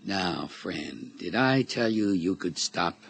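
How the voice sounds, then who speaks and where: An actor playing a sly, menacing middle-aged blackmailer in a radio drama, taunting with mock-friendly, folksy familiarity. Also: male voice, low voice, animated, slow, creaky, heavily acted. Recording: radio drama recording with background noise.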